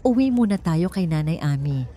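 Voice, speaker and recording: A woman voicing a gentle, caring laundry woman in a story, speaking tenderly and coaxingly. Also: lowish voice, lively intonation, normal speed, faint music behind, natural voice. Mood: soothing.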